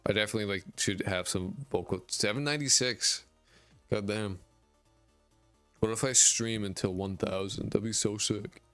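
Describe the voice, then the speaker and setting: A very deep, raspy male voice, tired and frayed after hours of nonstop talking. He mumbles through a casual, rambling stream chat, filled with fillers and the odd curse. It is a dry, close desk mic with faint music underneath. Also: moderate pace, fairly bright tone, no room sound. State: voice worn out from twelve hours of talking. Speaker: indie game dev streamer. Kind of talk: casual live-stream chat reading viewer messages. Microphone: desk mic with a high-pass filter.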